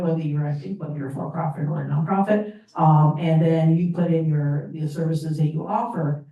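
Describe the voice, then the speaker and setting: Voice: mid-range voice, mellow. An event organizer giving meandering advice to a presenter. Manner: meandering with fillers. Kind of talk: commenting at a pitch event. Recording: echoey hall, distant mic.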